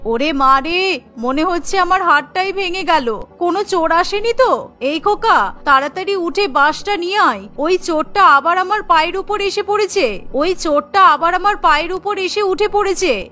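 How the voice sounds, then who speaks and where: Woman putting on a bossy older mother-in-law, sharp-voiced. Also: very high voice, animated, moderate pace, exaggerated voice. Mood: pained, alarmed. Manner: scolding, complaining, commanding. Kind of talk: cartoon story dialogue.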